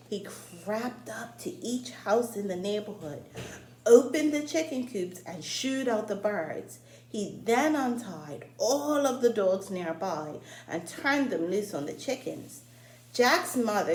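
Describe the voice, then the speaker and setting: A woman reading a folktale aloud for children in an expressive way, addressing her young listeners directly. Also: medium pitch. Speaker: story reader, teacher-like host. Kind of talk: read-aloud storytelling for children. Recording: roomy echo, faint background noise.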